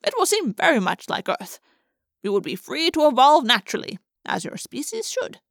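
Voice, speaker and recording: A woman voicing an aloof, all-powerful alien in an audiobook, making calm, formal, measured pronouncements. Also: high voice, creaky, no echo, clean studio sound, exaggerated voice. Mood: solemn.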